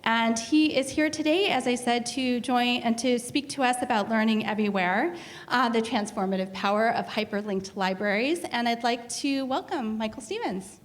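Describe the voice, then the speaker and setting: A woman's voice: a little high, normal speed. Woman director giving a hesitant introduction. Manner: hesitant, many filler sounds. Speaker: school director introducing the speaker. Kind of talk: formal introduction.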